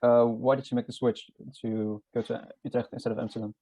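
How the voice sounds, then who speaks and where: A man interviewing a job applicant in an easygoing, informal way, scattering "uh"s and "um"s through his questions. His voice is mellow and dark with little pitch movement. The sound is dry and a bit dull, with no room around it. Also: a little fast, slightly rough, no room sound. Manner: relaxed and informal, with fillers. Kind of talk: casual mock job interview.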